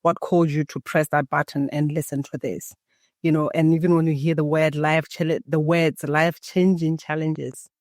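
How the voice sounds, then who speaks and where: A woman from Botswana, a Setswana native speaker, guesting on a podcast and telling her story in a calm, guiding way, as if leading a meditation. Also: low voice, no room sound, studio quality. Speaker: guest, embodiment coach. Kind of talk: podcast interview, reflective storytelling.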